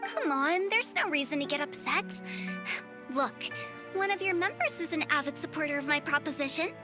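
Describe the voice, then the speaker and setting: A woman's voice: sits high, expressive, moderate pace, music in the background. An actress playing an eccentric, pushy young woman who runs a funeral parlor, with theatrical sales patter in an anime-style game. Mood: eager sales pitch.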